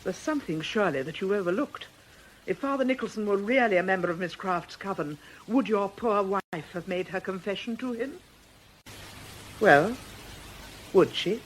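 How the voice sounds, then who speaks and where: An actress in a radio drama playing a composed, principled religious sister, measured, firm and gently reproving. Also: female voice, mid-range voice, expressive, slight background noise, natural voice, poor audio. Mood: firm, reasoning.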